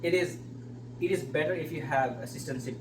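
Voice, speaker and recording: A man's voice: on the high side, brisk. A young man from Nepal, a civil engineer, answering interview questions in a hesitant way with many fillers. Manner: hesitant, many fillers and restarts, soft-spoken. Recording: echoey room, distant mic, noisy hum.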